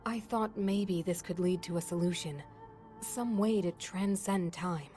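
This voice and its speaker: An actress playing a learned, scholarly non-human sage and older sister, explaining in a measured, thoughtful way. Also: female voice, lowish voice, mellow, faint music behind, close to an everyday voice. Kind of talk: game cutscene voice acting.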